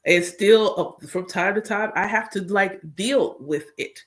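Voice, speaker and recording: A woman's voice: mid-range voice, measured pace. A woman and spiritual coach, congested, chatting casually and directly to her viewers about her own experience. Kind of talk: casual chat.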